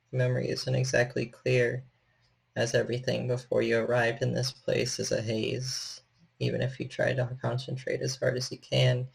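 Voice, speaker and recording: A man serves as game master for a tabletop horror story. His medium-pitched voice has a noticeable creak, and he sets out each scene in measured, descriptive narration. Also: rough recording.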